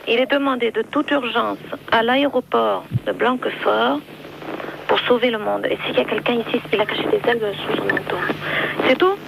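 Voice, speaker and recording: Female supermarket switchboard worker: creaky voice, practical and cooperative, unscripted, over a noisy phone connection. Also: fairly high pitch, moderate pace. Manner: cooperative, matter-of-fact. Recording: noisy telephone line, store ambience.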